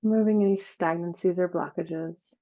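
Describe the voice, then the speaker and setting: Female meditation guide. Lowish, dark and soft-toned, unhurried and soothing, leaves long gaps. Slightly dull recording. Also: steady tone. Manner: slow, soothing, with long pauses.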